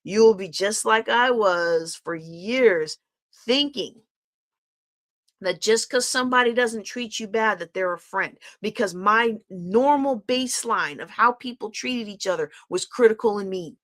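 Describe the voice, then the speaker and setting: A woman's voice: medium pitch, a little slow, a bit bright. A middle-aged woman coach giving a candid live-streamed motivational talk, repeating phrases and asking her viewers questions. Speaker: life coach.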